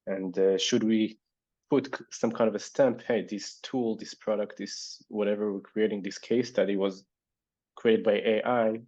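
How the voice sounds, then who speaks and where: A man with a Hebrew accent and a fairly low, mellow voice hosts a casual online panel. He thinks aloud and hesitates, restarting his sentences. The sound is dry and a bit dull, like a lo-fi video call. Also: steady tone, moderate pace, no room sound. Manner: thoughtful, hesitant, many fillers and restarts. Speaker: event host reading audience questions.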